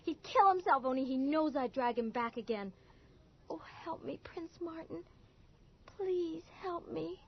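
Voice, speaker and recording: A woman's voice: high-pitched, lively intonation, unhurried. A woman playing a desperate, vengeful teenage girl pleading intensely in a radio horror drama. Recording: muffled early 1980s radio broadcast.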